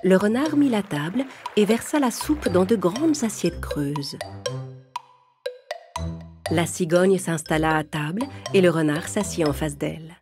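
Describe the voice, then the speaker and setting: A woman's voice: on the low side, lively intonation, measured pace, music in the background. A woman narrating a children's story in a scripted, carefully phrased storytelling style.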